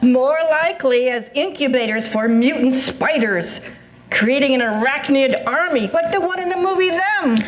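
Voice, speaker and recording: Woman acting high-voiced agreeable older wife. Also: expressive, normal speed. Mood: speculative. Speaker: amateur readers theatre performer. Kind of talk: staged play reading. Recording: echoey room, faint background noise.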